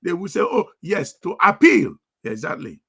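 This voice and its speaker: A middle-aged man broadcasting live, commanding and forceful, repeating himself for emphasis. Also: high-pitched, very animated, normal speed, vocal fry, breathy edge.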